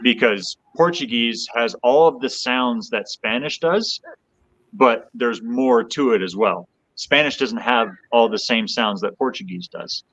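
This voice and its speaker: A man's voice: mid-range voice, takes it slowly, a bit bright, highs cut off. A man with an American accent explains things in a casual, conversational way as a podcast guest. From United States.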